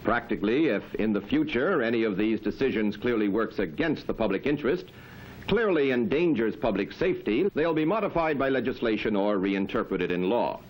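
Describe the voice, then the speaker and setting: A man speaking as a police chief, giving a formal, measured, official statement. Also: medium pitch, expressive, rough recording.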